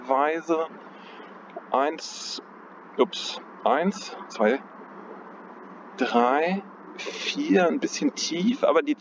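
A man at an ordinary middle pitch walks through market charts in an unhurried, thinking-aloud way, stopping to correct his own wording. The audio is dull and lo-fi. Clear outdoor noise and passing traffic sit behind him. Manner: thinking aloud, hesitant, self-correcting, chuckles at himself. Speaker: trading analyst. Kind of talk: casual chart analysis commentary. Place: outdoors on a cold day.

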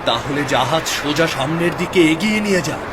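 A man's voice: a little high, animated, fairly quick, heavy background noise, lightly acted. A man voicing a formal, dramatic young prince in a fairy-tale cartoon dub. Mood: commanding. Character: young prince leading a sea voyage, commanding. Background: music behind the voice.

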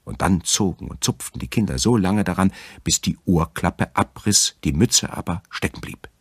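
A man with a very deep, gravelly voice narrating a fairy tale as a storyteller. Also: lively intonation, normal speed, dry recording, studio quality.

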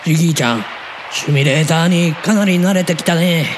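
A man's voice: a little high, a little fast, background noise, lightly acted. An actor in a radio drama playing an encouraging, friendly male simulator instructor. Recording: loud vehicle-like ambience.

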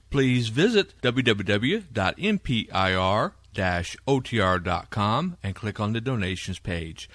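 A man's voice: on the low side, a bit bright, dry recording. A man presenting a podcast with scripted announcements.

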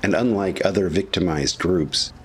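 A man narrating a scripted historical documentary in a measured, serious manner. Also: deep voice, a little hiss or hum.

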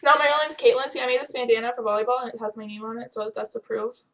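A woman's voice: on the high side, very fast, fairly bright tone. Giggly teen girl on a webcam. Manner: giggly, chatty, teasing. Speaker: webcam vlogger answering viewer questions. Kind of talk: casual chat. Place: home room with bright window. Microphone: built-in webcam mic.

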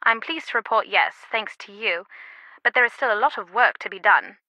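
A woman playing an earnest, slightly guarded and loyal confidante in a video game, speaking in a measured way. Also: mid-range voice, animated, sharp tone, no echo, poor audio. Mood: calm, informative. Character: earnest, secretive female phone confidante, loyal and concerned.

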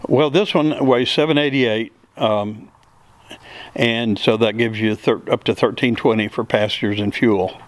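A man's voice: medium pitch, fairly even intonation, measured pace. A middle-aged man, a builder and pilot, answering interview questions in a plain, step-by-step way with many fillers, recorded on a handheld mic in an open hangar. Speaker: aircraft builder and pilot guest. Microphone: handheld mic held by interviewer.

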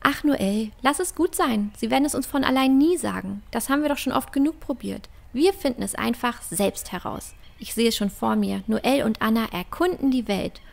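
A woman's voice: on the high side, animated, normal speed, gravelly, few pauses, a little mellow. A woman playing a cheeky, adventurous, optimistic girl in a fantasy audio drama. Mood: eager, upbeat.

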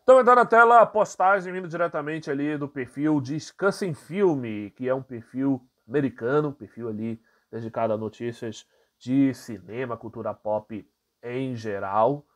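A man's voice: medium pitch, normal speed. A man with a Brazilian Portuguese accent hosting his channel, reading entertainment news aloud and commenting casually with frequent fillers. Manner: casual, many fillers, reads articles aloud then comments. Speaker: channel host.

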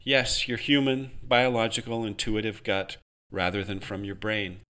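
A man's voice: lowish voice, little pitch movement, measured pace, sharp tone. A man voicing a defiant, sarcastic young rebel woman in a storybook reading. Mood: earnest. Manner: sarcastic, rousing.

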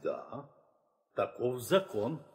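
A man's voice: medium pitch, very animated, very slow, darkish tone. An actor playing a weary, authoritative old king and father, solemn and declamatory in a theatrical audio play. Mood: weary, fatherly. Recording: reverberant 1960 audio play record.

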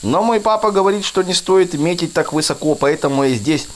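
A man's voice: high voice, animated, a little fast, a little hiss or hum, voice pushed up. A man voicing an eager, naive young builder toy from a children's game, earnest and questioning.

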